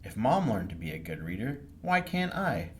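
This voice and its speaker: An anxious young schoolgirl from a storybook, played by a man. The delivery is hesitant when reading and swings up and down in pitch, with a little noise behind it. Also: big pitch swings. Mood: hopeful. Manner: halting, stammering when reading. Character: anxious young schoolgirl who struggles to read aloud.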